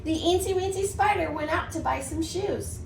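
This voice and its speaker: A woman preschool teacher reading a storybook aloud to young children in an expressive, rhythmic sing-song. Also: very high-pitched, moderate pace, vocal fry, faint music behind. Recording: distant mic, roomy echo, faint noise.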